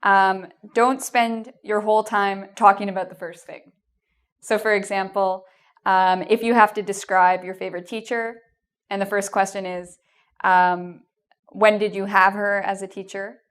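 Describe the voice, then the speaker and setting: Woman, Canadian accent, English teacher, video lesson, reassuring, mid-pitched voice. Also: fairly even intonation, a little slow, clean tone. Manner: encouraging, with frequent "um" and "okay?".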